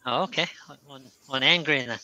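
Male host, bright crisp tone, relaxed teasing chat. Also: mid-range voice, a little fast, slight background noise, rough recording. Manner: easygoing, teasing banter. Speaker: woodturner hosting a live stream. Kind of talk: casual chat while working at a lathe.

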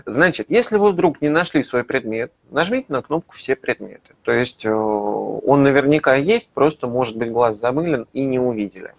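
A man's voice: medium pitch, expressive, moderate pace, vocal fry, few pauses. A man in his thirties, a publisher's lead methodologist, explaining a digital library in a webinar, with frequent hesitation fillers, recorded on a webcam in a small office. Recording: slightly dull lo-fi webinar audio.

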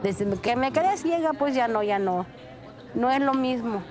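A woman's voice: high-pitched, expressive, normal speed, music in the background. An older woman recounting her memories in plain, hesitant speech. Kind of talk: casual interview recollection.

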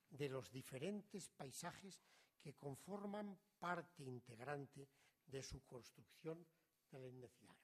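A middle-aged man, reading a prepared academic lecture in a measured, formal way that often trails off quietly at the ends of phrases. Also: medium pitch, slightly rough. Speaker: conference speaker.

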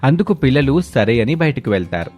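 A man's voice: mid-range voice, big pitch swings, a little fast, a little mellow, faint music behind. A man narrating a scripted story as the storyteller.

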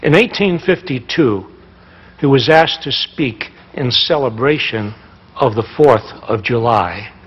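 A man works as narrator in a staged reading, speaking from a script. His voice sits at a middle pitch. The stage recording is a little dull. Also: measured pace, breathy edge. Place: theatre stage. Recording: slightly dull stage sound.

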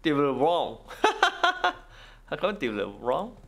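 A man giving casual game walkthrough commentary, thinking aloud in halting, non-native English. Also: a little high, huge pitch swings, creaky, fairly bright tone. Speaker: gaming video host.

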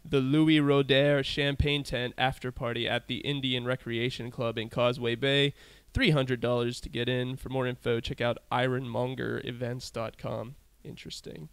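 A man's voice: medium pitch, flat delivery, moderate pace, few pauses, a bit bright, clean tone, no echo. A man hosting a casual podcast interview, reading event listings with fillers and frequent laughs. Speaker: podcast host.

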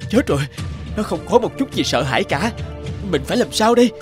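A man voicing a shy, kind young single father in an animated drama, hesitant and bashful. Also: high-pitched, a little fast, music in the background. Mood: frightened.

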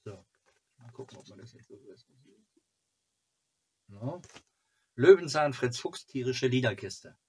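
A man in his forties hosting a casual fan club video, talking haltingly and musing to himself as he reviews merchandise. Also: mid-range voice, lively intonation, background noise. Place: small cluttered home room, indoors.

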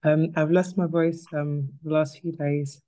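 A woman's voice: low voice, fairly even intonation, measured pace, warm tone. A woman speaking casually and personally about faith in an online group, sometimes searching for her words. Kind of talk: personal Bible reflection, casual.

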